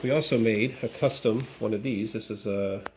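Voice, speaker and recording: A man's voice: on the low side, steady tone, moderate pace, a little mellow, slight background noise. Chatty man talking while he works. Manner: chatty, thinks aloud while working; playful repetition of words. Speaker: DIY video host. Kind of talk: casual hands-on repair walkthrough. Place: workshop or garage, cold day.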